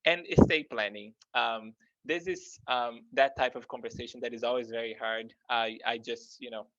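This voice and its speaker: A man's voice: mid-range voice, steady tone, normal speed, a bit bright, dry recording. A man from Brazil with a Brazilian accent, explaining financial planning in a conversational talk, hesitating often with many fillers. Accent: Brazilian Portuguese. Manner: hesitant, heavy with "um" and "you know" fillers. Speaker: financial research guest. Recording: dull lo-fi dry sound.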